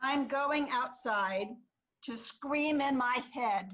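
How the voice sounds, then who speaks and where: Actress as an overwrought goddess who's had it, high voice, melodramatic and exasperated, comedy read online. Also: female voice, takes it slowly, fairly bright tone. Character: exasperated, overwrought goddess fed up with her friends. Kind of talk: comedy screenplay table read. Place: online video call reading.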